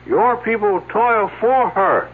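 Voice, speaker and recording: An actor playing a solemn, mysterious man who claims to speak with ancient spirits, in slow, ceremonial phrasing. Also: sits high, expressive, slightly rough, full character voice. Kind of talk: 1970s radio drama acting. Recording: tinny old radio broadcast.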